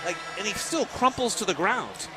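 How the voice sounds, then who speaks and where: A man's voice: high-pitched, sing-song, moderate pace, over music, poor audio. An American man calling live basketball play-by-play on the radio in clipped, fragmentary phrases. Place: live sports broadcast from the game.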